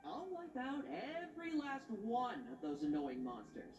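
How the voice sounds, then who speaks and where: An actor playing a cocky young monster hunter in a video game, flippant and taunting. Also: male voice, extremely high pitch, wildly swooping pitch, a little slow, a little mellow, exaggerated voice. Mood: menacing glee. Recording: roomy distant game audio with noise.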